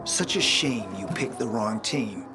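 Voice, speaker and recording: A sardonic, mocking man with a mid-pitched voice that drips sarcasm. Clear music plays behind him, as in a game cutscene. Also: lively intonation, a little slow. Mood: sarcastic. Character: mocking, sardonic male companion.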